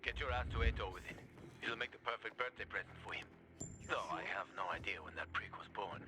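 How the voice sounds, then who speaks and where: A man's voice: on the low side, quick pace, noisy background, lightly acted. A man acting a brash, sarcastic fixer giving orders in a video game. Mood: sardonic.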